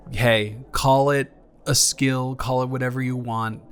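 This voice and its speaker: Halting, caring young ex-boyfriend, played by a man. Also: mid-range voice, little pitch movement, a bit bright, clean tone, natural voice. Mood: gently teasing, caring. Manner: halting, stammering, casual. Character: caring, flustered young ex-boyfriend tending a fighter's scratches. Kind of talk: improvised intimate audio roleplay.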